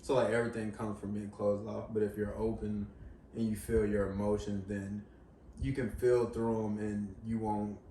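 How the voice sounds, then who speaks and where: A man's voice: lowish voice, level tone, normal speed, warm tone. A young man speaking thoughtfully in long reflective strings, seated on a couch in a living room, recorded by a far camera. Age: young adult. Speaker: guest in a discussion. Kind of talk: casual reflective chat. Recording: roomy sound from far off, faint hiss.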